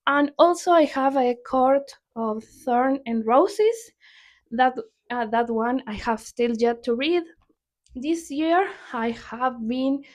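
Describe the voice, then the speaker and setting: Unhurried Argentine woman chatting about books. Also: fairly high pitch. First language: Spanish. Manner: unhurried, chatty, restarts and corrects herself often. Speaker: book vlogger. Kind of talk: casual chat.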